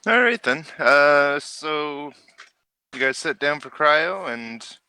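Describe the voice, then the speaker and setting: A man's voice: on the high side, expressive, slow pace, bright, forceful tone, clear, resonant tone, no echo. A man casually narrating a tabletop role-playing game as the game master.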